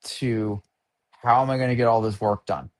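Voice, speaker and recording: A man in his fifties, an artist being interviewed, who speaks in an unhurried way and often laughs while talking. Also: medium pitch, fairly even intonation, darkish tone. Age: 50s. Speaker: guest artist. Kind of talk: casual interview chat.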